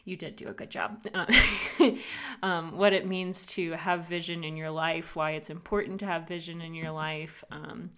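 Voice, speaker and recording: A woman co-hosting a faith podcast, cheerful and quick to laugh in casual chat. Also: deep voice, fairly even intonation, smooth. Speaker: podcast co-host.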